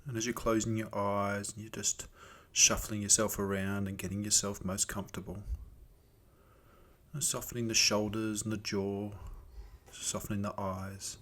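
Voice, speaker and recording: A man with a fairly low voice guides a meditation. He speaks gently and without hurry, leaving soothing pauses between phrases as he gives each instruction. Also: level tone. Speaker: meditation teacher.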